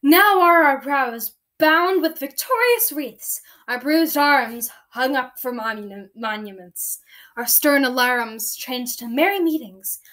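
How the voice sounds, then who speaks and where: Girl doing bitter scheming shakespeare villain, high voice, slow dramatic declaiming, super emphatic. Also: expressive, fairly bright tone, heavily acted. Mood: sardonic, grandiose. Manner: declamatory, emphatic, stumbles over some words. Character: bitter, deformed, scheming nobleman villain, theatrically brooding. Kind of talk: dramatic Shakespearean monologue recital.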